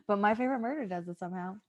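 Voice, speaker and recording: A woman hosting a comedy podcast, chatting casually with slangy, swearing talk. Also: on the high side. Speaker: podcast host and editor.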